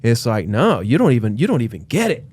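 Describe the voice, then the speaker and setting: A man's voice: mid-range voice, wildly swooping pitch, fast talker, a little mellow, breathy edge. A man co-hosting a casual podcast chat, talking loosely with lots of fillers and restarts. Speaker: podcast co-host, real estate agent.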